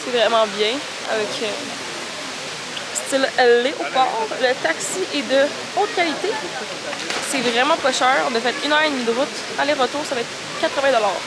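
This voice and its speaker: A woman's voice: high-pitched, a loud crowd, rough recording. A young woman with a Québécois accent and a head cold, chatting in a bubbly way for her travel vlog, outdoors on a phone. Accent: Québécois French. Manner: bubbly, gushing, quick to laugh. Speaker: travel vlogger. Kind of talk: casual travel vlog chat. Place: outdoors in a mountain valley. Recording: loud outdoor noise.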